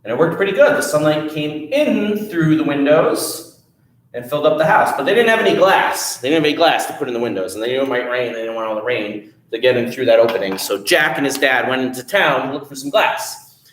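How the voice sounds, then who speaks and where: A man telling a children's story at a library storytime, animated and playful, chatting with his young listeners. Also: mid-range voice, a little fast, echoey room, low-quality recording.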